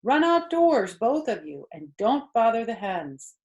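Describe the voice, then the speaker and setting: A woman voicing a brisk, emphatic farm aunt who orders children about, in a storybook reading. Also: a little high, very animated, roomy sound, lo-fi sound, voice pushed up. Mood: scolding.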